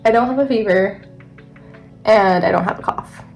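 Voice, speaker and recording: A woman's voice: medium pitch, moderate pace, creaky, faint music behind, poor audio. A young woman vlogger chatting casually through a makeup tutorial, sounding a little congested from allergies. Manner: chatty, rambling, self-correcting. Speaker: beauty vlogger.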